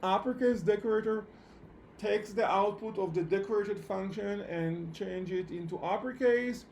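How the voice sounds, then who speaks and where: Male programming instructor, non-native English speaker, high-pitched creaky voice, halting delivery, live online lecture. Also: a little mellow, a little hiss or hum. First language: not English. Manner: halting, hesitant phrasing with repeated words. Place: online meetup session at a computer.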